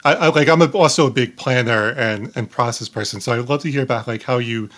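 A man hosting an interview in casual conversation, informal and halting, often repeating words. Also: medium pitch, a bit bright. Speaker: podcast host, interviewer.

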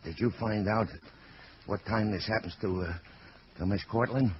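An actor playing a gruff, skeptical police lieutenant who barks curt, slangy orders in an old-time radio drama. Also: male voice, fairly low pitch, normal speed, lightly acted. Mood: skeptical, impatient. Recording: dull noisy vintage radio recording.

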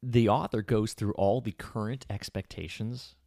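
Man, co-host, very low voice, reflective, thinking aloud, dry close sound. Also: fairly even intonation, normal speed, gravelly, warm tone, dry recording. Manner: reflective, restarts sentences while thinking aloud. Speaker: podcast co-host and public speaker. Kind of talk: casual conversational chat.

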